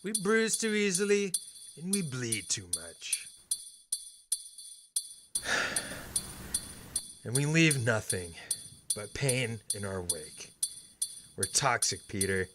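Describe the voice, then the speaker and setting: A man's voice: mid-range voice, sing-song, takes it slowly, pauses often, music in the background. A young man playing a bitter, unstable best friend turned villain in a superhero audio drama, theatrical and sardonic. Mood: bleak, resigned. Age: young adult.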